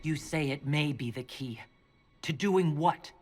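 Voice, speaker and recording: Earnest dwarf prisoner in long reverb. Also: female voice, deep voice, measured pace. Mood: wary, questioning. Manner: earnest, questioning. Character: bewildered female dwarf prisoner protesting her innocence. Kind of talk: dramatic video game voice acting. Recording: long hall reverb, music behind.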